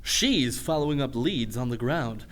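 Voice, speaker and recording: A man's voice: medium pitch, lively intonation, unhurried, a bit bright. A man playing a businesslike superhero team leader in a radio play, formal and decisive. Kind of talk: comic radio play acting.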